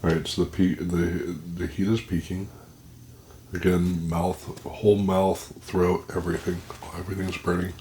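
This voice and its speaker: A man on a home vlog who talks haltingly, describing the heat of the pepper he has eaten, his nose running and blocked. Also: low voice, little pitch movement, mellow, a little hiss or hum. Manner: halting, describing the burn as it builds. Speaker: pepper reviewer. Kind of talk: casual vlog commentary. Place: indoors at home. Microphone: plugged-in microphone.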